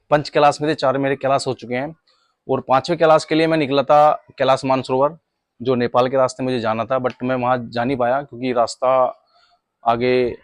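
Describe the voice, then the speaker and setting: A man from India talks in a casual vlog style. His voice sits at a medium pitch, and he addresses the viewer familiarly, like a brother. The sound is dry, with little room echo. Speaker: motorcycle travel vlogger.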